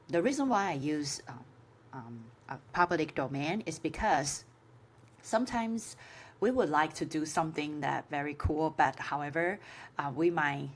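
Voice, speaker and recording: Clear background noise sits behind a woman with a low-pitched voice and a Chinese accent. She is a native Chinese speaker teaching an informal screen-share tutorial, phrasing things haltingly with many filler sounds. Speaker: course instructor.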